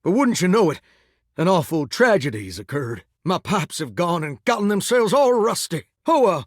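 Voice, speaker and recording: A cocky 1950s rock-and-roll crooner who happens to be a faucet, for a video game. He has a high-pitched, very animated voice with a hammy Southern American drawl. The recording is dry and close. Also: moderate pace, no echo. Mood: pleading, folksy. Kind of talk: theatrical game voice acting.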